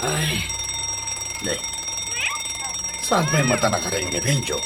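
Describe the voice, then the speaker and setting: A man's voice: mid-range voice, sing-song, unhurried, very noisy. A man with an Ilocano Filipino accent playing a grumbling, advice-giving household elder in a comedy radio drama. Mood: exasperated. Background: background music.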